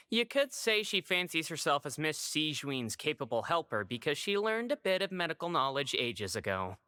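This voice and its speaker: A man's voice: a little high, very animated, normal speed, bright, forceful tone, smooth, dry recording. A man playing an easygoing, teasing male patient in a video game, with an offhand way of talking. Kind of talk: video game dialogue acting.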